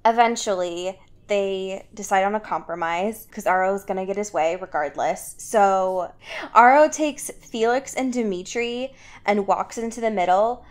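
A woman hosts a casual podcast, recapping a story in mid-pitched speech. Her wording is loose and slangy, and she acts out what characters say as she tells it. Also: unhurried. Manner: animated storyteller acting out characters' reactions in slang. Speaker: podcast host.

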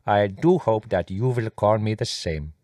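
A man voicing an excitable, earnest male guest who speaks in a pedantic, effusive, formal way. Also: fairly low pitch, unhurried, no echo, studio quality.

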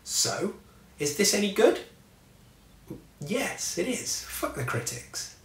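A man's voice: high voice, sing-song, slow pace, distant mic, heavy background noise. A man in his thirties giving a chatty, wry book review to camera from a small home office. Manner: chatty and wry, swears at passing vehicles. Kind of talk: casual talk to camera. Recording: echoey room, mic set back.

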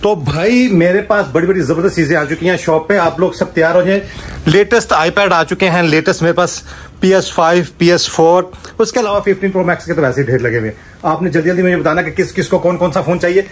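A man's voice: high-pitched, fast, slight background noise. A man in his forties vlogging casually, talking straight to a handheld phone at arm's length and promoting his shop. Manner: lively, promotional, talks straight to the camera. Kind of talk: casual vlog chat. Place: shop, street and restaurant kitchen.

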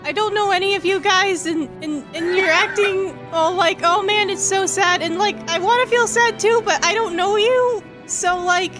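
A woman's voice: moderate pace, few pauses. A woman voicing a meek, awkward young male hedgehog in an improvised comedy fandub, whiny, hesitant and over-earnest. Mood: agitated. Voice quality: squeaky, whiny. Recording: loud music behind the voice. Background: game cutscene music.

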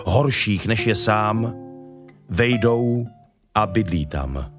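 A male actor plays a solemn, authoritative holy man in a dramatized scripture recording. His fairly low-key, deliberate declamation is stern and grave. Clear music plays behind him. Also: close to an everyday voice. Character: Jesus, solemn, stern, authoritative preaching teacher. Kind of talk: dramatized Bible reading in Czech.

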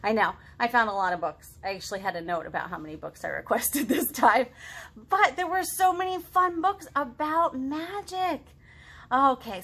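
A woman in her thirties hosting a children's storytime, reading and chatting to young kids in an expressive, sing-song, exaggerated way. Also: on the high side. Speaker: children's librarian, storytime host. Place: living room at home, indoors.

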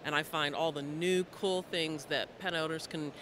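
A woman who reports on pets for television, answering an interview on a convention floor in a brisk, enthusiastic way into a handheld mic. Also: low-pitched, fairly even intonation, fairly bright tone, a little hiss or hum. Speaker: veterinarian TV correspondent. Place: convention hall.

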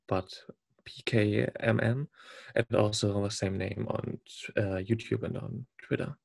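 A man from Germany speaks with a German accent and a low, gravelly voice. He talks casually as a podcast guest, breaking off and restarting words and chuckling now and then. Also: darkish tone. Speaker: podcast guest, competitive game player.

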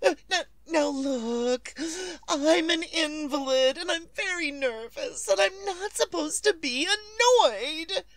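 Fretful bedridden wife, radio thriller; high voice, swooping intonation, imperious and easily frantic. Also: female voice, lively intonation, slow pace, hardly any pauses, no echo, heavily acted. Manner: fretful, imperious, stammering and repeating herself. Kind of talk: 1940s radio suspense acting.

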